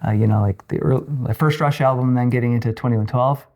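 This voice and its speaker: A man of fifty-nine chatting casually as a podcast guest, telling personal stories in an informal, halting way. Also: mid-range voice, steady tone, a little mellow. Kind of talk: casual interview chat, personal anecdotes.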